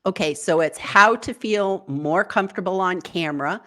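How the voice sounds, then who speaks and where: A woman guest on a live video interview, giving encouraging tips in an animated way and leaping up in pitch for quoted thoughts. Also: normal speed. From United States. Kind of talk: online interview. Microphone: video call.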